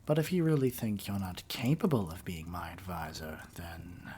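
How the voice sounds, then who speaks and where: A man playing a haughty, menacing evil king in an ASMR roleplay, speaking in a pompous, deliberate way full of disdain. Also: deep voice, a little mellow, a little hiss or hum, natural voice. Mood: silky menace. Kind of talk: theatrical ASMR roleplay monologue.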